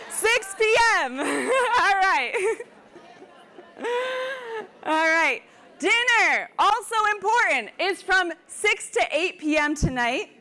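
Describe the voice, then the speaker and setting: Upbeat woman host, high bright voice, hyping the room. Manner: upbeat, hypes the crowd, laughs easily. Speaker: convention organizer and host. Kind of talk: live event hosting and announcements. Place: large ballroom.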